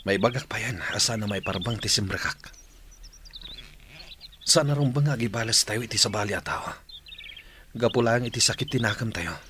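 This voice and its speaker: Man acting a sharp-voiced, troubled young fighter. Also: on the low side, moderate pace, pauses often. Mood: troubled, confiding. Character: troubled, conscience-stricken male fighter in a village drama. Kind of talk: Ilocano radio drama acting. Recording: noisy, with chatter and birdsong.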